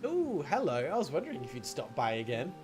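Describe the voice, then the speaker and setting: Animated male game streamer reading aloud. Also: medium pitch, a little fast. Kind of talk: reading visual novel narration aloud with casual commentary. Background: music.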